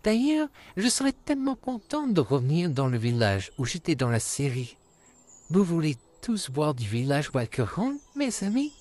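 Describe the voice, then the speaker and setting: A man voicing a friendly, courteous male puppet in an amateur comic dub, calmly addressing his friends. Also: on the high side, moderate pace. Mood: welcoming, amused. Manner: calm, courteous, repeatedly addressing listeners as friends.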